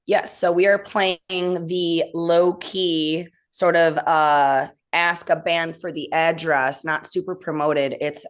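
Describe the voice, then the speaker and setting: A woman's voice: deep voice, steady tone, slow, few pauses. A woman with a Midwestern accent, a band member chatting as an interview guest in a laid-back, joking, self-deprecating way. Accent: Midwestern American. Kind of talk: casual interview chat.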